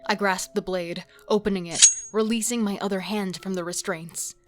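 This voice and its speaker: A woman's voice: mid-range voice, steady tone, normal speed, close to an everyday voice. A woman playing an intense, defiant serial killer devoted to her partner, acting and narrating in a horror audio drama. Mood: grim resolve.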